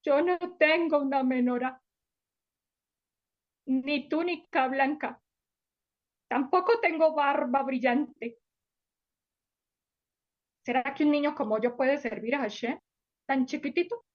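A woman's voice: high-pitched, slow pace, long pauses, highs cut off. A woman voicing a small, shy, dreamy boy in a children's story, speaking wistfully with sighs. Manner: wistful, sighing.

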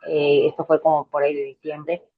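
A woman's voice: low voice, little pitch movement, normal speed. A woman in her fifties with a Mexican Spanish accent, giving an earnest, hesitant personal testimony full of fillers and restarts. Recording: tinny phone-like line.

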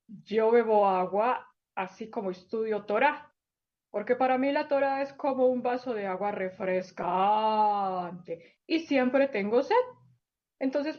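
A woman voicing a gentle plush lion puppet who tells stories to small children in a sweet, coaxing way. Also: medium pitch, lo-fi sound. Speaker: children's storyteller. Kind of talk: children's puppet show storytelling.

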